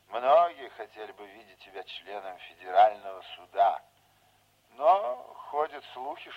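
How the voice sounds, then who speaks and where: An older man acting in a radio play as a folksy, scheming veteran politician, unhurried, fatherly and insinuating. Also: on the low side, muffled recording. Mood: measured, solemn.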